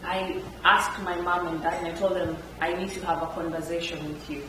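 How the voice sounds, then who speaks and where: A woman speaks on a panel, animated and quick to laugh as she tells her stories. Her voice sits a little low. She sounds far from the mic in a very echoey room, with clear background noise. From Kenya. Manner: animated, laughs often while telling stories. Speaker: entrepreneur on a panel. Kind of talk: storytelling at a panel talk. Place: event room.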